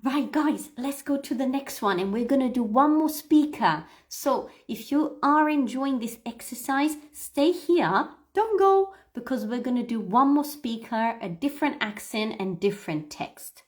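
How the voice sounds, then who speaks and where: A woman hosting a live English pronunciation lesson, explaining things to viewers in an instructive, expressive way with emphasis and frequent checks for understanding. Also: sits high, a little slow, few pauses. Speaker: English pronunciation teacher, livestream host. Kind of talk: live lesson talking to viewers, demonstrating read-aloud.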